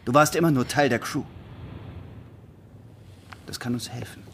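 A man's voice: medium pitch, unhurried, a bit bright, slight background noise. A man acting in a German radio play as an earnest, lovesick, upright naval officer with a formal, pleading way of talking. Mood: urgent persuasion. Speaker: radio drama actor.

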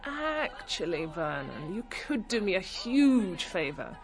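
A woman playing a rebellious, sharp-tongued young suffragette in a radio drama, mocking and challenging. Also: medium pitch, very animated, takes it slowly. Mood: coaxing. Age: young adult.

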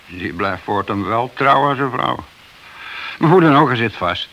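A man's voice: medium pitch, lively intonation, measured pace, fairly bright tone. A man playing a principled, long-serving police detective in a radio play, admonishing in a matter-of-fact way. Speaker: radio drama actor. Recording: hissy, noisy old radio recording.

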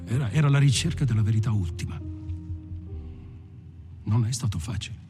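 An actor playing a reflective male lifer who recounts his past in a halting, literary, introspective monologue. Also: mid-range voice, over music, lightly acted. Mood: searching. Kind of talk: film monologue told like a personal testimony.

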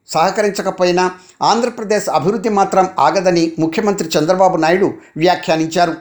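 A man's voice: sits high, darkish tone. A man reading a formal, scripted radio news bulletin as the newsreader.

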